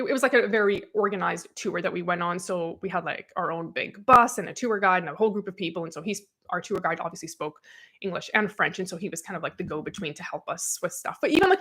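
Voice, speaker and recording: A woman's voice: on the low side, fairly bright tone. A young adult woman, podcast co-host, talking casually and rambling with lots of fillers and self-deprecating asides. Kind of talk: casual chat.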